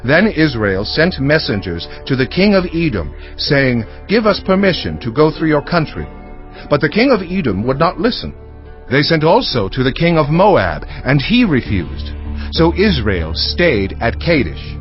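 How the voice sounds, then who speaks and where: A man's voice: on the high side, big pitch swings, a little slow. A man playing a proud warrior commander in a dramatized audio Bible, assertive and emphatic in his rhetoric. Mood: firm argument. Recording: dull band-limited audio, faint music underneath.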